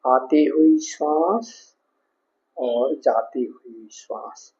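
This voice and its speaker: A man with an Indian accent leading a guided meditation as a teacher, giving instructions in short phrases with pauses. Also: mid-range voice, a little mellow. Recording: dull lo-fi sound.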